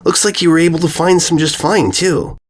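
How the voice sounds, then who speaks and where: Man voicing a brash, raised-pitch tomboy filly. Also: a little fast, natural voice. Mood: pushy. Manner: blunt, pushy, incredulous. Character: brash, teasing young tomboy filly pony.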